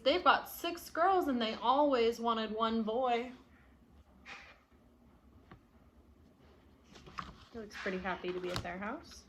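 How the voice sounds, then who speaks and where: A woman's voice: high voice, fairly bright tone, a little hiss or hum. A woman reading the part of a stubborn, indignant young boy from a picture book, with emphatic delivery. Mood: hopeful scheming. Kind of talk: picture-book read-aloud.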